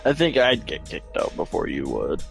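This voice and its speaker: A man's voice: a bass voice, huge pitch swings, normal speed, vocal fry, faint music behind. A man guesting on a podcast, jokey and wisecracking in casual chat.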